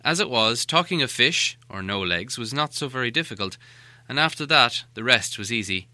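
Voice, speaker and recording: Guy narrating a storybook, really expressive, sharp bright voice, no room echo. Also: medium pitch, moderate pace. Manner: expressive, animated. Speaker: audiobook narrator.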